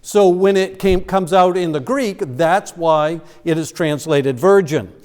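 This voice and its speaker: A man with a notably high voice gives a sermon in a church. He explains scripture in a loose, conversational way, stumbling and restarting now and then. His words ring out through a long hall reverb. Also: measured pace, darkish tone, clean tone. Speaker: pastor. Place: church sanctuary.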